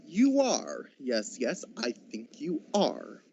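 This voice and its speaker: A man playing a giddy, generous reformed old miser in a radio play, stammering a little in excitement. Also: fairly high pitch, slow, slight background noise, heavily acted. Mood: mock stern. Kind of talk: school radio drama acting. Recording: dull lo-fi home recording.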